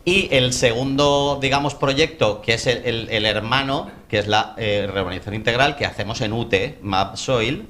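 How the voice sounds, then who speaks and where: A man's voice: medium pitch, normal speed, hardly any pauses, a bit bright, slight background noise. A man with a Spanish accent from Spain giving an informal conference presentation as an architect, colloquial and self-interrupting, with joking asides.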